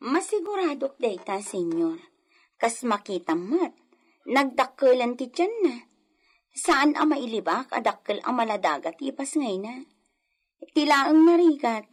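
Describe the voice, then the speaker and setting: A woman playing a gossipy, probing female servant in an Ilocano radio drama, with a husky voice. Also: a little high, expressive, a little slow, pauses often. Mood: respectful, chatty.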